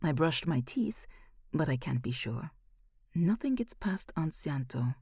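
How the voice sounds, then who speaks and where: A woman narrating a first-person literary short story in a measured, expressive way. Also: sits low, breathy edge, dry recording, clean studio sound.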